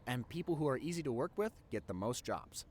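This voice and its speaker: Casting: male actor as devoted nerdy young boyfriend for audio roleplay; mid-range voice, lively intonation, earnest and teasing. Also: mid-range voice, fairly quick, slight background noise, close to an everyday voice. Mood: earnest, admiring.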